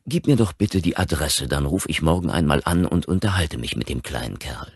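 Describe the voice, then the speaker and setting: A man acting a husky, emphatic psychiatrist. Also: a bass voice, lively intonation, a little fast, dry recording, clean studio sound, heavily acted. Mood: earnest, convinced. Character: opinionated male psychiatrist, earnest and frank. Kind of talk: audiobook character acting.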